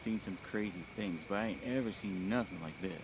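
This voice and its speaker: An actor playing a weathered, plainspoken country sheriff in an audio drama. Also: male voice, low voice, a little fast, noisy background, close to an everyday voice. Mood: unhurried, puzzled. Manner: folksy, plainspoken.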